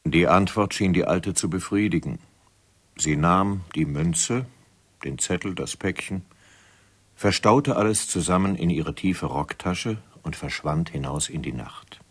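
A grave storyteller with an extremely low voice. He narrates a radio mystery soberly, as scripted drama. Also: unhurried, breathy edge.

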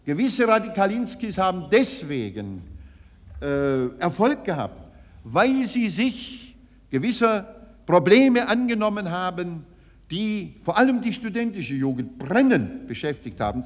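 A man's voice: sits high, sing-song, measured pace. An older man giving an emphatic, oratorical speech with rhetorical tags and repetitions. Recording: archive recording with long hall reverb.

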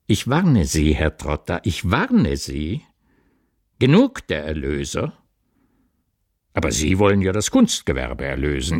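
An actor playing a stern, blunt older family lawyer in a radio play, admonishing with deliberate emphasis. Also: male voice, deep voice, dry recording, studio quality, exaggerated voice.

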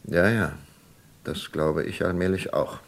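An actor playing a hunted political defector in an old radio crime drama, swinging between muttering and emphatic speech. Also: male voice, low voice, unhurried, vocal fry. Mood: resigned. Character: weary male political defector, target of assassination. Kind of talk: 1970s German radio crime drama acting.

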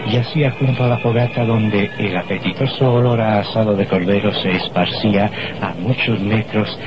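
A man's voice: lowish voice, fairly even intonation, moderate pace, over music. A man narrating an old radio drama from a script in a solemn, dramatic, literary manner. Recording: muffled old radio recording.

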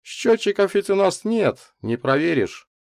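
A man's voice: high-pitched, big pitch swings, unhurried, gravelly, darkish tone, dry recording, clean studio sound, voice pushed up, full character voice. A man voicing a middle-aged professor in an audiobook story, restrained and scholarly with a sly, teasing streak. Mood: hesitant, thoughtful. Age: 40s. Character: lean forty-year-old male professor, sly, measured, teasing intellectual.

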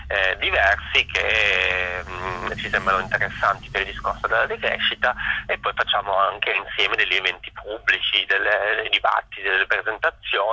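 A man's voice: lowish voice, pushed and bright, low-quality recording. A man giving informal, spontaneous interview answers as an event organizer, hesitantly searching for his words.

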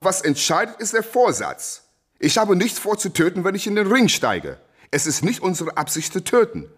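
A man's voice: high voice, huge pitch swings, normal speed, breathy edge, full character voice. A man in a German radio play acting a proud, defiant boxing champion who declaims and argues back with rhetorical questions. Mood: insistent.

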